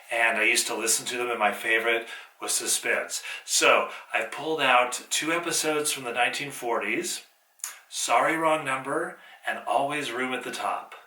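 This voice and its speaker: A man's voice: mid-range voice, pushed and bright, distant mic. A man giving a scripted introduction as a theatre teacher hosting a show. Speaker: high school theatre teacher, host. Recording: echoey, off-mic, music behind.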